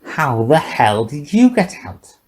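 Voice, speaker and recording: A man's voice: fairly high pitch, big pitch swings, takes it slowly, a little mellow, pitched above the performer's own voice. A man playing a slurring, sardonic old drunk scientist. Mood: startled, incredulous. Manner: rambling, sarcastic. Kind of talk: audiobook character dialogue.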